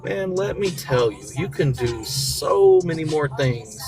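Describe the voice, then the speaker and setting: A man's voice: a little high, expressive, measured pace, loud music behind the voice. An American man from the South hosts a casual motivational livestream, talking in a slangy, encouraging way and repeating key phrases for emphasis. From southern United States (Mississippi and Florida). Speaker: talk show host. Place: in a vehicle during a commute.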